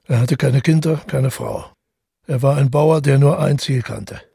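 An actor playing a haunted, brooding man who narrates a confession in a radio drama, measured and with deliberate pauses. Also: mid-range voice, fairly even intonation, warm tone, no echo, studio quality, heavily acted. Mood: grimly resolved. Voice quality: gravelly, husky. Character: tall, haunted man calmly confessing to a killing. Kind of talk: literary radio drama monologue.

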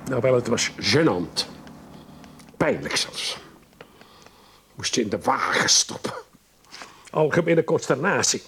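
An actor playing a sociable middle-aged husband in a radio drama, theatrical and emphatic. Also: male voice, medium pitch, expressive, takes it slowly, vocal fry, long pauses, a bit bright, slight background noise, exaggerated voice. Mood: exasperated. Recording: music behind the voice.

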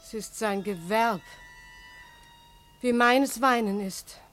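A woman's voice: on the high side, takes it slowly, fairly bright tone, faint music behind. An actress playing a weary, wounded woman lamenting indifference solemnly in a poetic radio drama. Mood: weary reproach.

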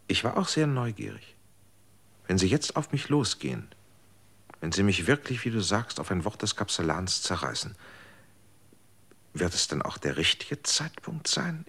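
A man's voice: lowish voice, exaggerated voice. An actor in a radio drama playing an anxious, probing man who cannot explain why he is still alive, speaking in a searching, insistent way. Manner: searching and insistent, swings between hushed and urgent. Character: anxious, probing man who survived his fated hour. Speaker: lead character.

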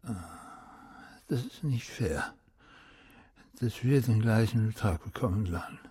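Very husky, slow, aggrieved haggler. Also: male voice, fairly low pitch, animated, warm tone, no room sound, clean studio sound, heavily acted. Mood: aggrieved, haggling. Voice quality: very husky, breathy. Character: battered man haggling for hush money, aggrieved. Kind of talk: radio drama acting.

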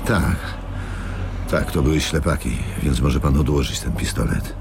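Radio drama: weary, grim plotter, low creaky voice, halting delivery. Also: male voice, background noise, full character voice. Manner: halting, repeating words. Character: grim, weary man confessing a revenge plan.

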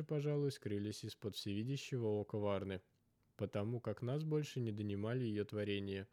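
A man's voice: on the low side, warm tone, dry recording. A man reading a first-person literary story as a scripted narrator.

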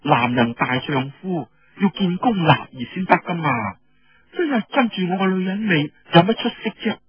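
A man's voice: high-pitched, expressive, moderate pace, dull audio, pitched above the performer's own voice. A man voicing a shrewd, firm young woman general who teases and scolds, in an audiobook story. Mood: teasing, scolding.

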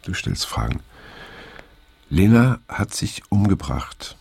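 Weary middle-aged survivor, husky, very low voice, halting and pensive, understated radio drama dialogue. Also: male voice, very animated, a little mellow, no echo, full character voice. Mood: resigned, somber. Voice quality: gravelly, husky. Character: weary middle-aged male survivor of a hippie circle, reflective.

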